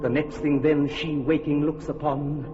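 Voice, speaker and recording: An actor playing a commanding male fairy king in a classic radio drama, speaking verse grandly and dropping to a sly hush. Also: fairly high pitch, flat delivery, moderate pace, close to an everyday voice. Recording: muffled old radio play with long reverb and music.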